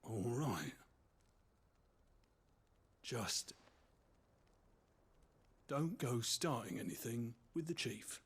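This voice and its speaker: An actor playing a surly, officious village gate guard with a husky voice, curt and dismissive, in a video game. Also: male voice, mid-range voice, lively intonation, extremely slow, long pauses, heavily acted. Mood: grudging.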